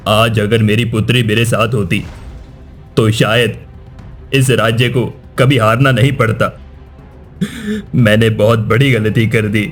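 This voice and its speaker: A man playing a proud older king and father in a cartoon, with measured, declamatory speech. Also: on the low side, steady tone, soft background music, natural voice. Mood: remorseful, anguished. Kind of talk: dramatic Hindi cartoon dubbing.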